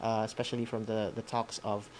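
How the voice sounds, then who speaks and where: A man's voice: on the low side, little pitch movement, fairly quick, darkish tone, a little hiss or hum. A man with a Filipino accent casually hosting an online seminar and moving between speakers. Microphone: online video call.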